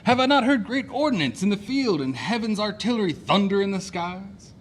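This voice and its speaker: A man acting a swaggering, boastful gentleman in a Shakespearean radio play. His highish voice is very animated and declamatory, over slight background noise. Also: normal speed. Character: blustering, boastful gentleman fortune-hunter.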